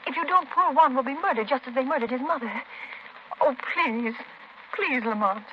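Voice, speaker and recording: A woman's voice: high-pitched, moderate pace, close to an everyday voice. An actress playing a brave but anxious woman adventurer, earnest and melodramatic in 1930s radio acting style. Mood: urgent, pleading. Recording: muffled, noisy 1930s radio broadcast.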